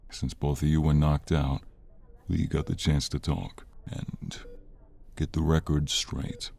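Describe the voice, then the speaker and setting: A man playing an older, stern but forgiving father in an audio drama, with a gravelly, husky voice and a measured, grave delivery. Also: extremely low pitch, little pitch movement, warm tone, slight background noise, heavily acted. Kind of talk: audio roleplay drama acting.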